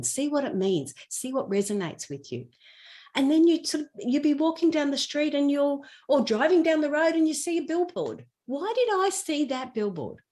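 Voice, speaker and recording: A woman's voice: high-pitched, darkish tone. A woman podcast guest talking conversationally as a spiritual teacher, explaining things with personal anecdotes and everyday examples.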